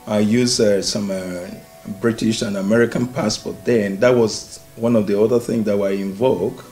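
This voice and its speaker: A man in his forties from Nigeria, a native Yoruba speaker, telling his life story in an interview with unhurried, thoughtful pauses. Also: lowish voice, steady tone, a little mellow. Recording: faint music behind.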